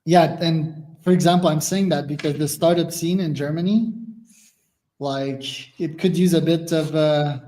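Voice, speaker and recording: A man's voice: fairly high pitch, steady tone, measured pace, warm tone. A young man hosting a podcast, chatting casually in a blunt, opinionated way with many fillers. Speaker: podcast host. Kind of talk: casual conversation.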